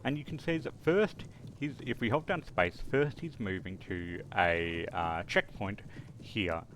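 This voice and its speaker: A man's voice: lowish voice, a little slow, a bit bright, a little hiss or hum. A man presenting a casual screen-recorded tutorial, thinking aloud step by step with some hesitation. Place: close dry voice-over of a screen recording.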